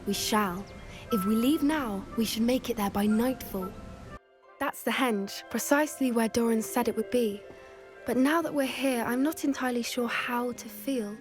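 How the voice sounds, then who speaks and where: A woman playing an earnest, gentle teenage witch who loves animals in a video game, speaking articulately and softly eager with a British accent. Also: on the high side, normal speed, faint music behind, lightly acted. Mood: tense anticipation. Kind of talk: scripted video game dialogue acting.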